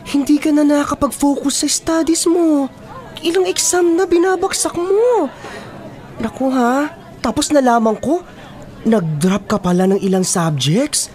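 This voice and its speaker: A nagging young female friend scolds with exasperated concern in a radio drama. Her voice is fairly high and emphatic, over faint crowd chatter. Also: measured pace, darkish tone. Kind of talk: Filipino radio drama acting.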